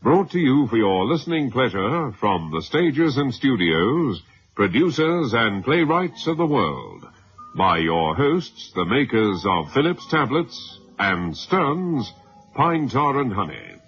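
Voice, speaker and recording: A man's voice: medium pitch, big pitch swings, takes it slowly, faint music behind. A man announcing a radio programme in a formal, scripted vintage style. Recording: dull, faintly noisy old radio recording.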